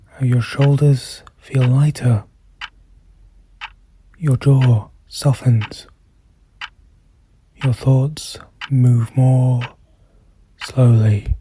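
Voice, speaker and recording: A meditation guide speaking softly and soothingly, in measured phrases with long pauses and a breath that shows at times. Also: medium pitch, a little hiss or hum. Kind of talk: slow guided meditation narration.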